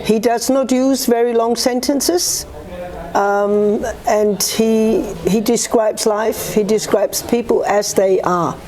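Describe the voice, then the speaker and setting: Mellow Australian-raised woman, earnest. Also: fairly high pitch, fairly even intonation, takes it slowly. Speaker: guest author. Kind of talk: conversational interview answers.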